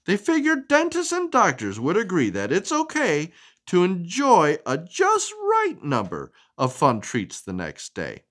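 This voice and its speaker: A woman reading a storybook aloud to a young child in an expressive, sing-song way. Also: lowish voice, unhurried. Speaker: storybook reader.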